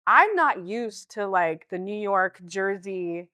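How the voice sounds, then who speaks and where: A woman in her thirties from the Southeast US, chatting in an interview and acting out quotes with big jumps in pitch. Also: expressive, takes it slowly, pushed and bright, no echo, clean studio sound. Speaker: guest actor. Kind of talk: casual interview chat.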